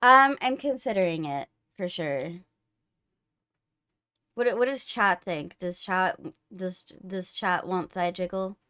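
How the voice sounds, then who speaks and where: A woman's voice: fairly low pitch, takes it slowly, sharp tone, clean tone, dry recording. Playful woman chatting on a livestream, dry sound. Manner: playful teasing banter with co-host. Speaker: livestream host. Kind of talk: casual livestream chat.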